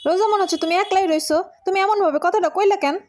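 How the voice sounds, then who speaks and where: A woman's voice: very high voice, quick pace, darkish tone. A woman voicing a touchy, quarrelsome young wife in a comic cartoon, with a Bangladeshi accent. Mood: offended. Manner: nagging, quarrelsome. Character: touchy, moody young wife, quick to argue.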